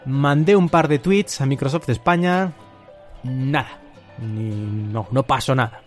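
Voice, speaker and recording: A man's voice: mid-range voice, unhurried, faint music behind. A man from Spain with a Castilian Spanish accent, hosting a solo podcast and telling stories in a conversational way. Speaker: podcast host. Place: at home.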